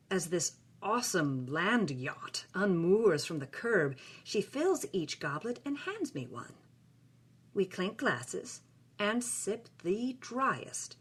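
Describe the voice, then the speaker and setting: A woman's voice: medium pitch, slightly rough. A woman voicing a passionate, sensual young film star, reading a journal with lingering, dramatic phrasing.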